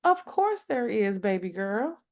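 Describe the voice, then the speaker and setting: A woman voicing a gentle mother in a picture book, patiently explaining and soothing. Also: mid-range voice, sing-song, measured pace. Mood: reassuring. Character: gentle, reassuring mother explaining magic to her daughter.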